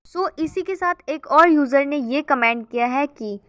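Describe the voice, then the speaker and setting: A woman's voice: high-pitched, unhurried, fairly bright tone, no echo. A woman hosting a gossip channel, chatting casually as she reads out viewer comments and keeps addressing her viewers as guys.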